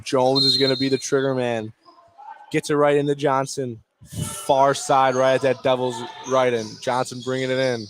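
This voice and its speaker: A male play-by-play announcer calls a high school basketball game live on radio. He speaks in clipped, running phrases that track each move, in a medium-pitched voice. Game noise and bouncing balls sit behind him. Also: little pitch movement, normal speed. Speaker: play-by-play commentator.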